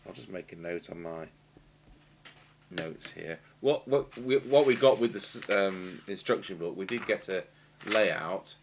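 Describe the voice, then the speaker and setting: A British man giving a halting, matter-of-fact technical demonstration, thinking aloud as he works at a workshop bench. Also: low-pitched. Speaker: radio repair technician demonstrating. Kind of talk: unscripted technical demonstration commentary. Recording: clear background noise and hum.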